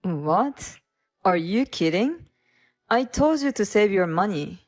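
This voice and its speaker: A suspicious husband snaps out blunt accusations in a slightly lowered voice, as a woman storyteller puts him on. Soft music sits faintly underneath the narration. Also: animated, takes it slowly. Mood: accusing. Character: suspicious, scolding husband, adult man.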